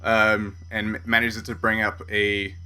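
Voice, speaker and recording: A man narrating a tabletop sci-fi game as game master, halting and correcting himself as he explains. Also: on the low side, flat delivery, bright, forceful tone, smooth, poor audio. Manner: halting, self-correcting delivery.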